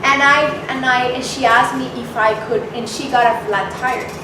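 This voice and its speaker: A woman from Mexico, a guest speaker, telling a personal story to an audience in a candid, animated way. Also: high-pitched, normal speed. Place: event hall with audience. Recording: echoey hall, mic from far off, noisy.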